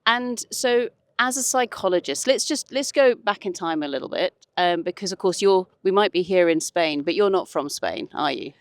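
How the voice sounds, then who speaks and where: A woman's voice: fairly low pitch, animated, normal speed, few pauses, no echo. A woman hosting a podcast, asking questions in a casual, chatty way. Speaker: podcast host, interviewer. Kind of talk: casual interview chat.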